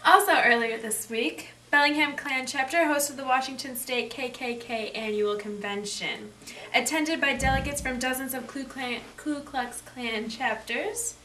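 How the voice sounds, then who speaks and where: A perky female 1920s radio news anchor, played by a woman, with a higher-pitched voice. She reads her bulletins in period slang with the odd slip. The room is echoey and the mic is some way off, with noise behind. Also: measured pace, bright, forceful tone, far from the mic. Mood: newsy. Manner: 1920s slang, reading from script with slips. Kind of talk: scripted 1920s news broadcast.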